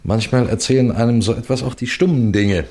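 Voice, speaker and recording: An actor playing a shrewd, composed senior police detective in a radio crime play, speaking in a deliberate, courteous, instructive way. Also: male voice, mid-range voice, a little mellow, lightly acted. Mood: probing, musing. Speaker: detective and narrator addressing listeners. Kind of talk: scripted German radio crime drama.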